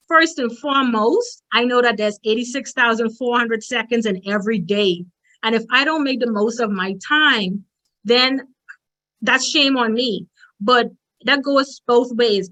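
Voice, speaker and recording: A woman's voice: on the high side, a bit bright, rough recording. A woman from the Bahamas with a strong Bahamian accent, giving a direct, emphatic motivational presentation as a conference speaker.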